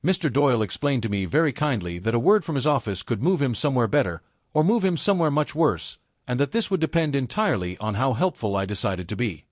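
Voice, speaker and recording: A strained but steady secretary, a woman as read by a male narrator, confides in restrained audiobook dialogue. The intonation is expressive and the sound dry. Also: mid-range voice, quick pace, few pauses, no echo. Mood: strained confession.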